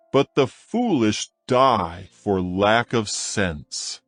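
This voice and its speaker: A man reading proverbs from scripture in a slow, measured, solemn manner as a studio voice-over. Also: medium pitch, dry recording. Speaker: scripture reader.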